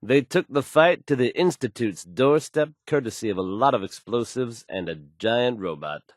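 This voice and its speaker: An actor playing a confident, smooth male radio news host in a video game, folksy and dramatic in his announcing. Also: medium pitch, lively intonation, normal speed, no echo, clean studio sound, natural voice. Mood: confident, emphatic.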